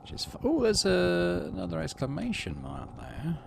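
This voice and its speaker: A man's voice: high voice, huge pitch swings, normal speed, creaky, a little hiss or hum. A man hosting a gaming video, reading story text aloud and adding casual commentary.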